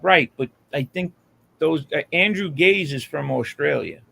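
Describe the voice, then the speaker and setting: A man's voice: on the high side, lively intonation, unhurried, vocal fry, pushed and bright. An American man in casual chat on a live hangout, with a slangy, profane way of talking.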